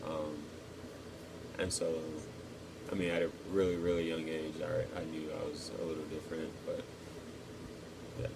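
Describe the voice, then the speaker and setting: A man in his twenties from Texas, answering interview questions casually and thoughtfully, pausing often with ums. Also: a bass voice, little pitch movement, creaky, background noise. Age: 20s. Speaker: interviewee, cadet.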